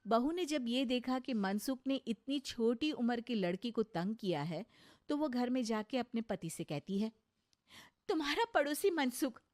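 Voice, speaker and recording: A woman's voice: fairly high pitch, normal speed, darkish tone, no echo, clean studio sound. A woman reading scripted narration for a comic moral story as its storyteller.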